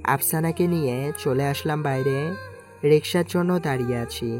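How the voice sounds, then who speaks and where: A man's voice: fairly high pitch, moderate pace. A man narrating a scripted first-person story, voicing its dialogue as he goes. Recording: music clearly behind the voice. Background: music.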